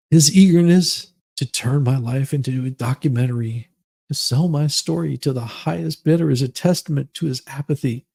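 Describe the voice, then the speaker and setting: A man voicing a self-pitying, melodramatic woman in a slow, overwrought, mock-solemn dramatic reading of a grievance essay. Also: fairly high pitch, vocal fry, darkish tone, breathy edge.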